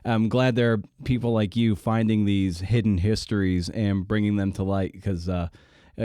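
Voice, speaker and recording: Low-voiced, self-deprecating man hosting a podcast. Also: warm tone, no echo, clean studio sound. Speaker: podcast host and writer. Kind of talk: casual, candid podcast monologue and interview.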